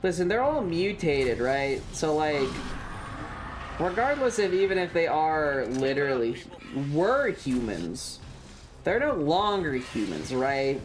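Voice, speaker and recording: A male game streamer with a somewhat high voice for a man. He chats casually as he plays, sarcastic and theatrical, over faint game noise. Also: very animated, slow. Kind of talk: casual live game commentary. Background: video game ambience.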